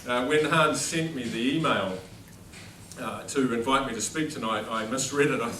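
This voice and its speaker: A man's voice: mid-range voice, moderate pace, fairly bright tone. New Zealand man speaking across an echoey hall. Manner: self-deprecating, dry jokes, speaks without a microphone to a room. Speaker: headmaster giving an after-dinner speech. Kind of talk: humorous after-dinner speech. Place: large dining hall at a formal dinner. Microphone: no microphone, recorded from across the room. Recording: distant echoey hall with background noise.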